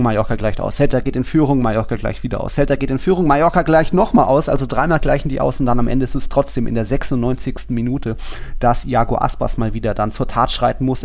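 A man with a creaky, gravelly edge to his mid-pitched voice co-hosts a football podcast. He talks in a casual, colloquial way and slips into little acted-out quotes as he retells things. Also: darkish tone. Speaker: podcast host.

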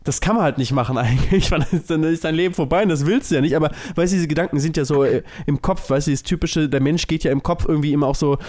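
Man, raised pitch, rapid pace, casual podcast co-host, rambling, thinking out loud. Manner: rambling, thinking aloud, loosely strung clauses.

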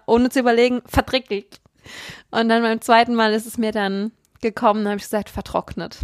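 A woman tells a casual anecdote and acts out what she said. Her voice sits a bit high. The recording is dry and close. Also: dry recording. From Palatinate, Germany. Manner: lively storyteller, quotes herself. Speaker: guest friend.

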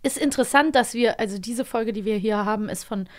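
A woman's voice: on the high side, fairly quick. A woman with pronunciation, co-hosting a casual conversational review of audio dramas. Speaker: podcast co-host.

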